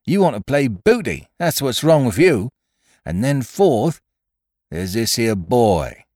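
A man's voice: medium pitch, very animated, takes it slowly, a little mellow, dry recording, clean studio sound, pitched above the performer's own voice. A man voicing a belligerent, mutinous pirate crewman in a storybook reading, blunt and accusing in rustic sailor speech. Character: belligerent mutinous male pirate crewman challenging his captain. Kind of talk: dramatised audiobook reading of a classic adventure story.

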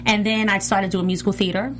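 A woman's voice: medium pitch, level tone, quick pace, a bit bright, slight background noise. A middle-aged woman talking casually as a guest in an interview, with lots of fillers like "you know" and "like". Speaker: guest, reality TV cast member and singer.

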